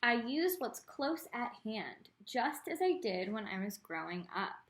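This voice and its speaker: Female art teacher for a children's read-aloud: fairly high voice, expressive storytelling, speaks straight to the kids. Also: a little slow. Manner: expressive reading, addresses young listeners directly. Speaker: art teacher reading a picture book aloud.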